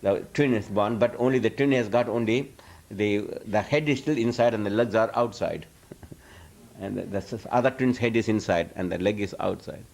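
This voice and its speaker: A man with a fairly low voice teaches before a live audience in a loose question-and-answer session. He wanders from point to point, restarts his sentences often and lets out frequent chuckles. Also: normal speed, gravelly, darkish tone. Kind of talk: informal lecture Q&A.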